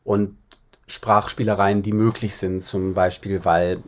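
A man's voice: low-pitched, level tone, a little slow. A man from northern Germany talking conversationally as an interview guest, explaining things in a reflective way. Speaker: interview guest, singer-songwriter.

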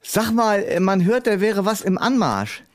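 A man voicing a dim, sulky sidekick in a comedy podcast, high and quacky, grumbling and belittling. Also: big pitch swings, a little slow. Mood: teasing, clueless. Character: dim, sulky, dismissive male sidekick with a squawky voice.

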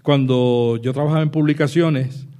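A man from Puerto Rico answers an audience question on a panel. His voice is dark and mellow, and he talks in a reflective, anecdotal way with frequent pauses and restarts. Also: medium pitch, fairly even intonation. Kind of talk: conference panel talk. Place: conference hall with audience.

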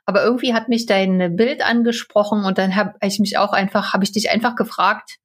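A woman's voice: medium pitch, fairly even intonation, fairly quick. A woman from eastern Germany co-hosting a casual podcast interview, chatty and given to chuckling. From eastern Germany (Upper Lusatia). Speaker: podcast co-host.

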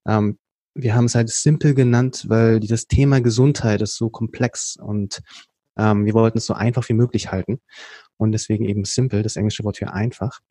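A man from Berlin with a rather low, warm, mellow voice talks in a relaxed interview, explaining things at length. He often hesitates with fillers and starts sentences over. The sound is dry and close. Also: normal speed, dry recording. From Berlin, Germany. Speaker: podcast guest, company co-founder. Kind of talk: casual conversational storytelling.

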